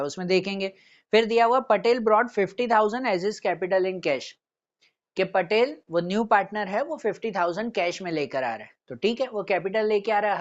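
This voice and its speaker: A male teacher explaining a lesson step by step, repeating points and checking understanding with tag questions. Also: high-pitched. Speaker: accountancy teacher. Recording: dull, muffled lo-fi audio.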